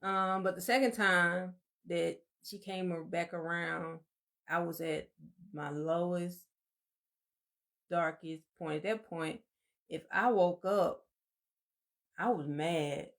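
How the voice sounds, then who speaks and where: A soft-spoken woman, co-hosting a casual live-stream chat and telling her story in a meandering, reflective way. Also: lowish voice, fairly even intonation, takes it slowly, creaky, pauses often.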